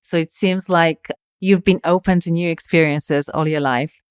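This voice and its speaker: A woman's voice: on the low side, fairly even intonation, normal speed, clear, resonant tone, dry recording, clean studio sound. A woman hosting a podcast, reading a scripted introduction and then asking interview questions in an articulate, enthusiastic way. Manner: enthusiastic, articulate, laughs easily. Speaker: podcast host.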